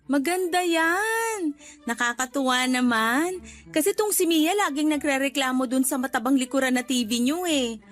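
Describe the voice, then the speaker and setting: High, breezy Filipina neighbor. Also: female voice, animated, moderate pace. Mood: friendly, breezy. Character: chirpy, well-off, casually condescending Filipina neighbor. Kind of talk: radio drama acting. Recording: light background music under dialogue.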